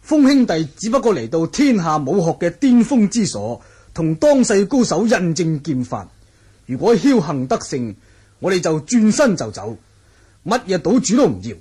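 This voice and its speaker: An actor playing a shrewd, jovial martial-world wanderer with a Hong Kong Cantonese accent in an old radio drama, speaking with wily, persuasive courtesy. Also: male voice, high-pitched, very animated, moderate pace, warm tone, husky, exaggerated voice. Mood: earnest, persuasive.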